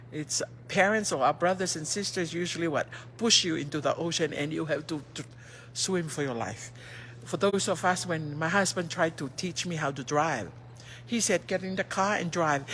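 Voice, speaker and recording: A woman's voice: deep voice, moderate pace, a bit bright. Brief: middle-aged Tongan woman, native Tongan speaker, earnest livestream pep talk on a phone, faint hum behind. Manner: earnest, asks rhetorical questions and answers them; switches between languages mid-sentence. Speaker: livestream host. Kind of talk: motivational livestream chat.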